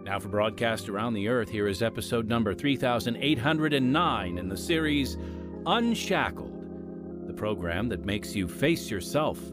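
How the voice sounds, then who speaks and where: A man narrating a radio drama as its announcer, with a dramatic, measured delivery. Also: mid-range voice, lively intonation. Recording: music under the voice. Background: music.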